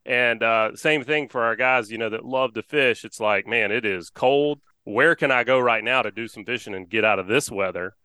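A man hosts a relaxed podcast conversation, his voice bright and forward. He rambles energetically, stacking fillers as he goes. The sound is dry and close. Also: medium pitch, moderate pace, few pauses, clear, resonant tone, no echo. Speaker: podcast host. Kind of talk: casual chat.

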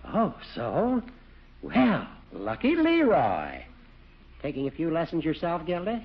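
A man's voice: a little high, huge pitch swings, background noise, full character voice. Man: elderly judge, fussy and long-winded, voice swooping widely, vintage radio comedy, dull recording. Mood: breezy, courtly. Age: older. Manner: long-winded, halting, digressive. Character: rambling, genial, fussy old judge. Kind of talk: 1940s radio comedy acting.

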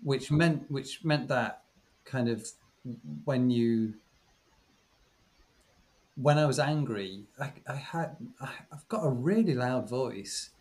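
A man's voice: medium pitch, slow, darkish tone. A man, a podcast co-host and former teacher, chatting casually and reflectively with many restarts. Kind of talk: casual chat.

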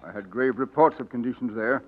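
An actor playing a grave, formal Southern gentleman in a 1940s radio drama. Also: medium pitch, a little fast, close to an everyday voice. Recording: muffled 1940s radio recording with music.

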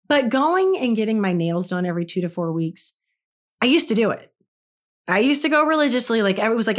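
A middle-aged woman and mother of grown kids, hosting a casual self-help talk in a chatty, folksy way, her throat a little dry from allergies. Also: mid-range voice. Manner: chatty, folksy, addresses listeners directly. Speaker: podcast host.

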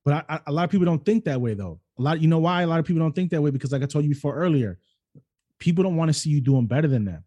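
A man's voice: a little high, racing. A middle-aged man hosting a podcast, talking casually and emphatically, grown up in New York City and Cleveland. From New York City and Cleveland, Ohio. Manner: emphatic, animated storyteller. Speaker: podcast host. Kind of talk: casual interview chat.

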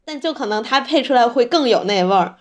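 A woman with a somewhat high voice co-hosts a podcast. She chats casually and slips into anecdotes, with a playful, joking way of talking. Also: lively intonation, fast talker. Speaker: podcast host.

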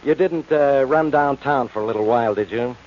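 An actor playing a suspicious police inspector in an old-time radio crime drama. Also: male voice, mid-range voice, brisk, lightly acted. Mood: sly probing. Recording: dull, noisy old radio broadcast.

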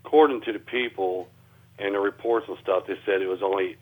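A man's voice: fairly low pitch, brisk, a little mellow. A man talking as an interview guest over a thin phone line, explaining things in a casual, plainspoken, folksy way. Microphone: telephone.

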